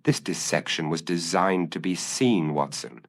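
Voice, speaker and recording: A man voicing a shrewd, confident gentleman detective in an audio story, speaking precisely and formally. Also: low-pitched, a little slow. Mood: grave, certain.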